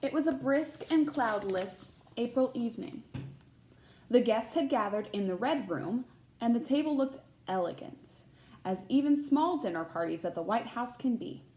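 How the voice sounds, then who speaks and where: A woman reading a children's picture book aloud like a teacher, pausing to put questions to young listeners. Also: a little high, expressive, darkish tone, echoey room, poor audio. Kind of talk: expressive read-aloud of a children's picture book with chatty asides.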